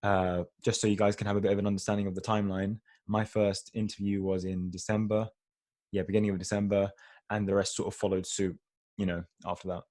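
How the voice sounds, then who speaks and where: A young man from Egypt talks students through interview tips in a loose, informal way, full of "like" and "you know". His voice sits fairly low. He speaks into a computer mic on a video call. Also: flat delivery, fast talker, a little mellow. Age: young adult. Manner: chatty and informal, many fillers like "like" and "you know". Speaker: dental student giving an interview webinar. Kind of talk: casual online webinar talk.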